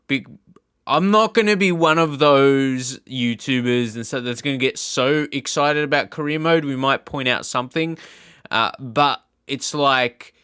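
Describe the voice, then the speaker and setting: A man's voice: mid-range voice, pushed and bright, smooth, dry recording, clean studio sound. A man giving casual reaction commentary as a gaming YouTuber, thinking aloud in a hesitant, rambling way. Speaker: video game YouTuber.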